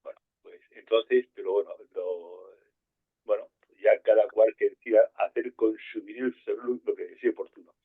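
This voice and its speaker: A man hosting a call-in show with a husky voice, rambling a little as he reads out listener comments. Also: lowish voice, steady tone, moderate pace. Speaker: host. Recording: tinny phone-like line.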